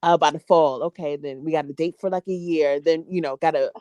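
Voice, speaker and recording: A woman in her late twenties chatting on a podcast, expressive and laughing as she acts out her own thoughts. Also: deep voice, fairly quick, no room sound, studio quality. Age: late 20s. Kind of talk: casual podcast chat.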